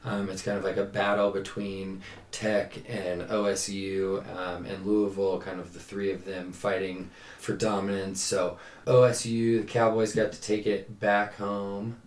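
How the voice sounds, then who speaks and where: A man's voice: fairly low pitch, flat delivery, measured pace. A man co-hosting a sports podcast, chatting casually as a coach recapping competitions and giving opinions. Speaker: cheerleading podcast co-host and coach. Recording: roomy, echoey sound.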